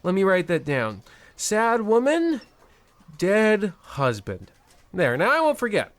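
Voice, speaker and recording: A man's voice: sits high, wildly swooping pitch, slow pace, fairly bright tone, no echo, heavily acted. A man acting as an officious, callous bank loan officer in a comedy radio play, fussy and blustering. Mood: callous, indignant.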